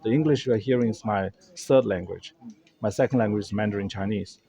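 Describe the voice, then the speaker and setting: A man's voice: on the low side, a little fast, creaky, dark tone. German-native man explaining things casually. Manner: earnest, explanatory, with many restarts. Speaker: host. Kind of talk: casual talk to camera. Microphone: camera held by a friend.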